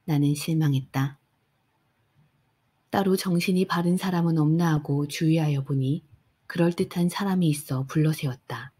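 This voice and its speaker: Soft-spoken woman for a sleep audiobook, husky and calm. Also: low voice. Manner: soft and unhurried. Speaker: audiobook narrator. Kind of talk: calm bedtime audiobook reading.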